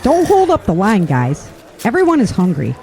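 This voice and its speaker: A woman's voice: medium pitch, huge pitch swings, normal speed, warm tone. A woman playing a spirited wife and mother who is a survivor in an audio drama. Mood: warm, bantering.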